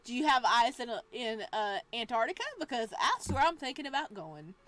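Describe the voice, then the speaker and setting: A woman reading a visual novel aloud as a let's play host, moving between dramatic narration and casual, expressive reactions. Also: on the high side. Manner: expressive, exclamatory asides with laughter between measured reading.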